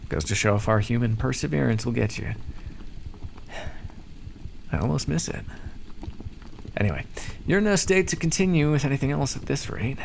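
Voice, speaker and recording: A man playing a calm, condescending vampire captor in an ASMR roleplay, teasing and coaxing as he talks. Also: fairly low pitch, gravelly, a little hiss or hum. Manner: teasing, patronizing, coaxing, hesitant stammers. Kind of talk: ASMR roleplay, scripted monologue.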